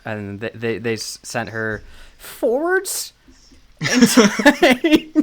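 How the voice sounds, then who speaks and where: A man's voice: medium pitch, huge pitch swings, very slow, long pauses. A man co-hosting a casual podcast, talking in a rambling, scatterbrained way and swearing freely. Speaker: podcast co-host.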